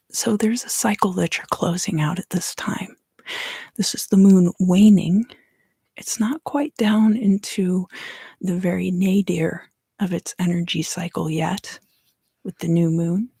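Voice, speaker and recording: A woman softly whispering an ASMR tarot reading as a livestream host, soothing and unhurried, in a roomy recording. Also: fairly low pitch, creaky, husky.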